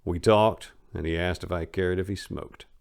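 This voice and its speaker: A man's voice: deep voice, steady tone, normal speed, gravelly, clean tone. A man narrating a first-person memoir as a dramatic reading, with emphatic stresses and asides that trail off.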